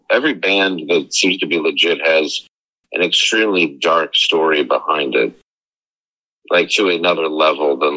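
Deep, forceful man cracking jokes. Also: lo-fi sound. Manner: quick, joking asides. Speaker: podcast guest. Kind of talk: casual discussion.

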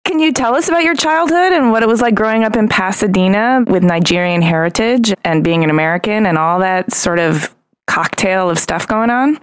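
A woman's voice: medium pitch, brisk, smooth. Chatty woman podcast co-host. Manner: conversational, thinks aloud while asking. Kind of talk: podcast intro and interview questions.

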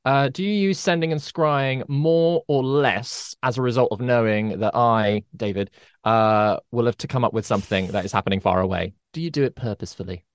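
A man's voice: medium pitch, moderate pace, clear, resonant tone, dry recording. A man who is the dungeon master co-hosts a tabletop game podcast. He chats casually and hesitantly, with plenty of fillers and some playful teasing. His voice sounds dry and close to the mic.

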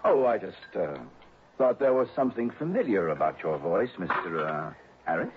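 An actor playing an uneasy, suspicious suspect with halting politeness in a 1960s radio drama. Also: male voice, deep voice, lively intonation. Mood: suspicious, nervous. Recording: tinny, noisy old radio recording.

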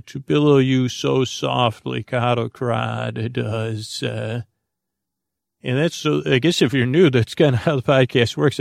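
A man hosting a bedtime podcast with a creaky voice, rambling in a halting, goofy, improvised monologue that meanders into tangents. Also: medium pitch, dry recording, clean studio sound. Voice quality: gravelly, creaky. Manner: goofy, whimsical. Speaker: podcast host.

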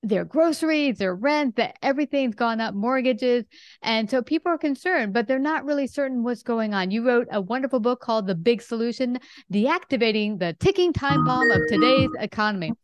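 A woman's voice: on the high side, lively intonation, moderate pace, faint music behind. A woman hosting an interview podcast in a conversational style. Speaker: podcast host.

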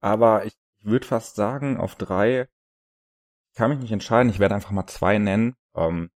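A man's voice: fairly low pitch, moderate pace, darkish tone, dry recording, clean studio sound. A young man co-hosting a casual podcast, telling stories in an easygoing way with many fillers. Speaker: podcast co-host. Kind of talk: casual chat.

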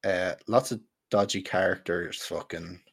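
A man's voice: low-pitched, fairly even intonation, measured pace, vocal fry, breathy edge, no echo, clean studio sound. An Irish man chatting casually with friends as a podcast host, telling stories bluntly with plenty of swearing.

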